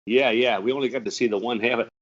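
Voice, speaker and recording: A man in his seventies with a hoarse, cold-roughened voice, hosting an interview in a halting, hesitant way. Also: medium pitch, fairly even intonation. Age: 70s. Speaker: host, retired pastor. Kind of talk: casual interview chat. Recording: muffled lo-fi audio.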